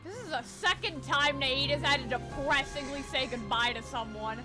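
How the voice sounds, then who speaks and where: A woman's voice: high-pitched, normal speed, over music. A woman giving casual gameplay commentary as a streamer, brash, sweary and sardonic. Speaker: gaming streamer.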